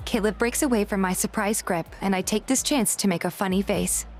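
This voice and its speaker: A woman's voice: medium pitch, brisk, darkish tone. A woman reading scripted first-person story narration, like an audio drama. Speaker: voice actor narrating and playing the heroine of a fan dub. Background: music.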